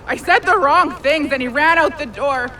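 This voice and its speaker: A woman's voice: high-pitched, moderate pace, sharp tone, a little hiss or hum. An actress in an audio drama playing a remorseful, caring young woman who talks earnestly and plainly, with a wry streak.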